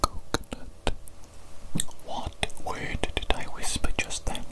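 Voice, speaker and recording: A woman voicing an evil, doting mad doctor in a breathy ASMR whisper, with formal, repetitive phrasing. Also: natural voice. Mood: pleased, instructive. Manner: slow, repetitive, formal old-fashioned phrasing. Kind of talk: whispered ASMR medical roleplay.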